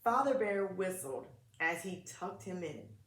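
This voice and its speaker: A woman's voice: on the low side, expressive, a little slow, heavy background noise. A woman reading a picture book aloud to preschool children in short, simple phrases, talking to them directly. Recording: echoey room.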